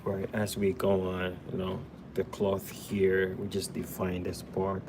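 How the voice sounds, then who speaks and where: A man's voice: low-pitched, completely flat delivery, measured pace, background noise. A young man from Ghana hosting a live drawing tutorial, chatting casually with viewers while he sketches at a desk indoors. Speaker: drawing livestream host.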